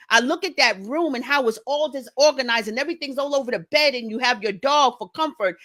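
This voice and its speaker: A middle-aged woman speaking deliberately and pointedly in direct address, repeating phrases for emphasis. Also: high-pitched, fairly bright tone. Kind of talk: direct address response video.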